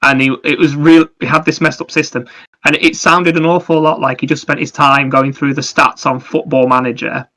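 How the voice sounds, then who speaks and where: Bright-voiced man in casual banter. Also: on the high side, steady tone, normal speed. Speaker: podcast co-host. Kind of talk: casual chat and anecdotes.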